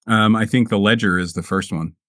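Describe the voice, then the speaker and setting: A man's voice: lowish voice, brisk, a bit bright, no echo, clean studio sound. A man talking casually as the host and a player on a tabletop gaming show. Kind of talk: casual show intro and game talk.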